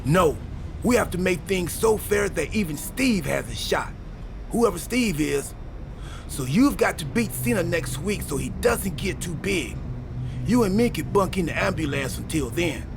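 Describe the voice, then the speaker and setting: Goofy, high-pitched schemer of a wrestler. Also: male voice, animated, measured pace, creaky, exaggerated voice. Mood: goofy, earnest. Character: goofy scheming male wrestler, cheerfully muddle-headed time traveler. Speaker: wrestler character in a game story mode. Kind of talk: video game cutscene acting. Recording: background music under the voice.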